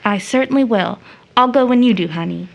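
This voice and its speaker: An actress playing a high-strung, affectionate young woman who dotes on children in an audio drama. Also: a little fast, gravelly, lo-fi sound. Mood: doting. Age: young adult.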